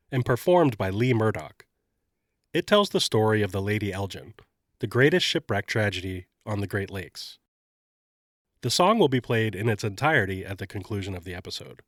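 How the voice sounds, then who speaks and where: A man hosting a podcast, sounding slightly nasal and congested while getting over an illness, narrating a scripted story in a measured way. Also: on the low side, no room sound, clean studio sound. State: congested, recovering from covid. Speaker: podcast host.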